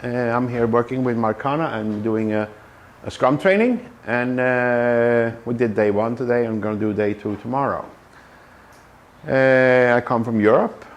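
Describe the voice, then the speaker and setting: A man's voice: medium pitch, measured pace, dull audio. A man from Sweden, a Scrum trainer giving a conversational talk to a meetup group, with many fillers and restarts. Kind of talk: conference talk. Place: meetup room.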